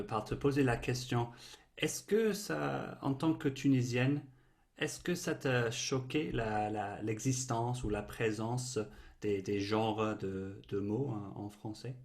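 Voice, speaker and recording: Male host, low and unhurried, halting questions full of restarts. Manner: halting, repeats words while forming questions. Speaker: interviewer. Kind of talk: conversational interview.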